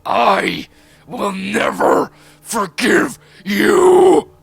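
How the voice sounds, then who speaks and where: A man voicing a vengeful, grieving male dog in a horror story, breathy and growling, intense and dramatic. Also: sits high, sing-song, very slow, pitched above the performer's own voice, heavily acted. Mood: enraged. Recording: music behind the voice.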